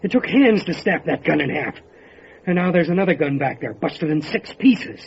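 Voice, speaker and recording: High-pitched, commanding sheriff. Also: male voice, expressive, moderate pace, vocal fry. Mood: grim, reasoning. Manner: urgent, terse. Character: tough, commanding male sheriff facing horror. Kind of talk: radio horror drama acting. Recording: muffled radio drama with faint noise.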